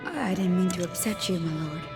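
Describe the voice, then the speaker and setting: Game role: actress as servile, flirtatious courtesan, lower female register, roomy echo. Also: expressive, brisk, over music, close to an everyday voice. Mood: obliging, coy. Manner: seductive, obliging. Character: coy, servile courtesan woman humoring a client. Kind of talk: video game cutscene acting.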